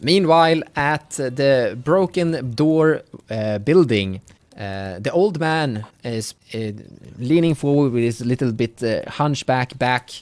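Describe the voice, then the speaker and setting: A man working as game master, improvising the narration of a tabletop game in a halting, conversational way. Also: mid-range voice, a little mellow.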